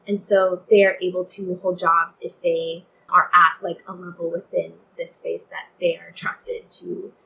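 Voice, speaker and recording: A woman's voice: fairly low pitch, level tone, a little slow, creaky, few pauses, bright, forceful tone, slight background noise, poor audio. A young woman from Texas speaking earnestly and conversationally as an interview guest, often saying "like" and "you know". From Texas, United States.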